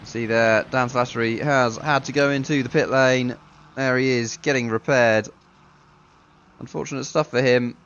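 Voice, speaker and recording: A man's voice: medium pitch, steady tone, normal speed, a bit bright, clean tone, muffled recording. Man commentating a sim race. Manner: dry self-mocking humour, casual. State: a bit ill, deeper voice than usual.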